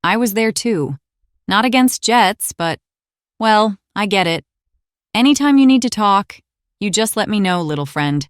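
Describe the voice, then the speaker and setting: A woman voicing a kind, steady female warplane, a veteran comforting a friend in a gentle, reassuring way in an animated drama. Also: mid-range voice, unhurried, no echo, clean studio sound, lightly acted.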